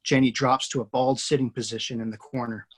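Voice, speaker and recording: A man reading screenplay action lines aloud in a steady, matter-of-fact way. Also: mid-range voice, fairly quick. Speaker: screenplay reader.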